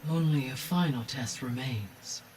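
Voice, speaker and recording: A man acting a calm, analytical artificial intelligence with precise, measured, formal delivery in a video game. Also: mid-range voice, recorded from a distance, slight background noise, lightly acted, poor audio. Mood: calm, clinical.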